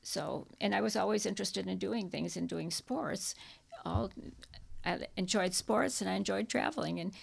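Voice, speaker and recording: Older woman with a gravelly, creaky voice. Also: mid-range voice. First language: Czech. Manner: halting, reminiscing, many restarts. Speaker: interviewee, hiking club member. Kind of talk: oral history interview.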